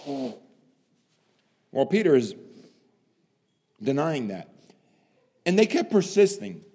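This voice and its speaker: A man preaching a sermon, dramatic, swinging from hushed lines to loud emphasis and asking rhetorical questions. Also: mid-range voice, sing-song, extremely slow, slightly rough. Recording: long hall reverb.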